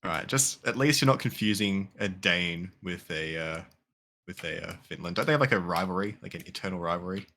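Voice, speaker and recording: A man's voice: deep voice, expressive, brisk, pushed and bright. A man chatting casually while streaming a modded game, rambling and swearing loosely. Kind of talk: casual gaming chat.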